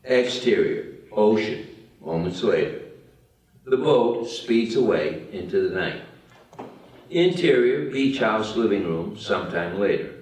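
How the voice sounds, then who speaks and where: Slow, low-voiced man reading stage directions. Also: expressive, a little mellow. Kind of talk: scripted narration at a table read. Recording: roomy, distant mic.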